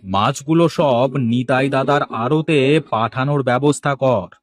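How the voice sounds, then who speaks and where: A man's voice: mid-range voice, a little slow, no echo, close to an everyday voice. A man playing an anxious village fish wholesaler in a cartoon, exclamatory and given to repeating himself. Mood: commanding. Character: anxious village fish-wholesale boss, polite, easily panicked. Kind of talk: cartoon dialogue acting.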